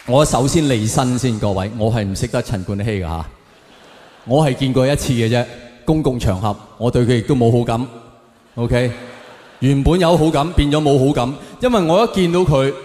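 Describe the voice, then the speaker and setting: A man's voice: mid-range voice, lively intonation, normal speed, a little mellow, a little hiss or hum. Echoey Hong Kong Cantonese male stand-up comedian. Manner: mock-serious opinions with rhetorical questions and direct audience address. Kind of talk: stand-up comedy routine to a live audience. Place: live venue, on stage before an audience. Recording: echoey hall with long reverb.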